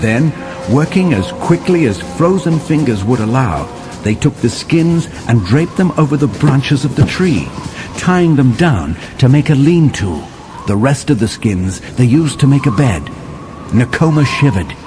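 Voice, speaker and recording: A settler telling a children's tale of winter adventure. His mid-pitched voice swings widely and lingers on dramatic pauses, with music playing behind him. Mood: steady. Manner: animated, vivid storytelling with dramatic pauses. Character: male settler storyteller recounting a winter adventure to John. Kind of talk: dramatic children's story narration.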